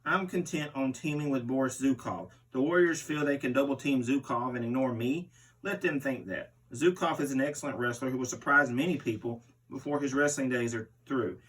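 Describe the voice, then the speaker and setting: A man plays a brutal barbarian pro wrestler giving an interview. He boasts and threatens like a promo, in a mid-low male voice. The voice sits some distance from the microphone. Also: fairly quick, a bit bright, close to an everyday voice. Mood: boastful. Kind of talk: interview read aloud as a wrestler.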